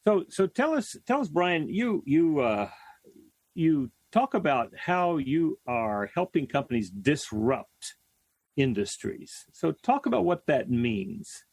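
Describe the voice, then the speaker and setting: A man's voice: a little high, sing-song, slow pace, a little mellow, no echo. A man hosting a talk show, interviewing conversationally and repeating words as he frames his questions.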